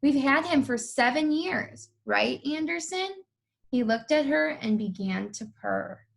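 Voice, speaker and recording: A woman's voice: high voice, lively intonation, unhurried, creaky. A woman reading a children's picture book aloud as a co-reader.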